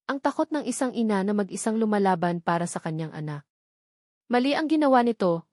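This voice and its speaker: A woman with a Filipino accent narrates a scripted dramatic story in a measured, solemn way with deliberate pauses. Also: mid-range voice, darkish tone, no room sound, studio quality. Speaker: audiobook narrator.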